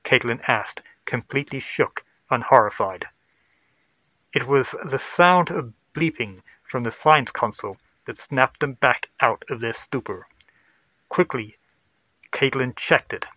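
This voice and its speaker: A man's voice: medium pitch, measured pace, sharp tone, no room sound, dull audio. A man narrating a scripted sci-fi horror story.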